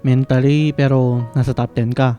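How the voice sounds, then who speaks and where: A man playing a quiet, shy young student in a radio drama, hesitant and mild, with a Filipino accent. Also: medium pitch, flat delivery, moderate pace, faint music behind, close to an everyday voice. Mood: wry, mild.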